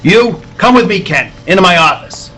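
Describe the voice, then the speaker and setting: Man playing an excitable, high-pitched newspaper editor. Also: moderate pace, slight background noise, poor audio. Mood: rattled, anxious. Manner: blustering, barking orders, stammering when rattled. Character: gruff, excitable newspaper editor, an agitated boss. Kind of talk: old-time radio serial acting.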